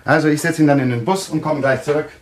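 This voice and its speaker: A man's voice: a little high, fairly even intonation, quick pace, slight background noise, close to an everyday voice. An actor in a German radio play playing a self-assured male doctor, authoritative, clinical and reassuring. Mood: clinical, confident.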